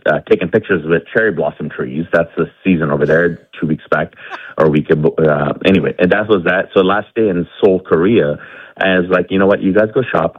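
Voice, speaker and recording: A very deep-voiced man from India calls in to a sports radio show over a tinny, phone-like line. He rambles along with lots of fillers and restarts, and the sound is dry and close. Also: dry recording. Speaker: caller. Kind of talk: casual call-in chat. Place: home garage.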